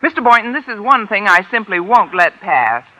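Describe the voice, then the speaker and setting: Bright, wisecracking American schoolteacher. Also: female voice, medium pitch, big pitch swings, a little fast, poor audio. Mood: indignant, wry. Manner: dry, sardonic wisecracks. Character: wry, wisecracking single woman high school English teacher. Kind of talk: 1950s radio sitcom acting. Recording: hissy old radio broadcast.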